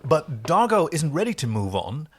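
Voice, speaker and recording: A man's voice: on the high side, sing-song, normal speed, slightly rough. A man co-hosting a podcast, casually and thoughtfully discussing a TV episode. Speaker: podcast co-host.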